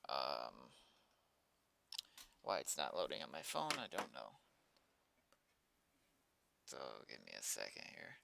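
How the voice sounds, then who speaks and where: A man's voice: very deep voice, huge pitch swings, takes it slowly, vocal fry, pauses often, bright, forceful tone, a little hiss or hum. A man chatting casually on a livestream, muttering in an offhand, sweary way. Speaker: live streamer. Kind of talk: casual unscripted livestream chat.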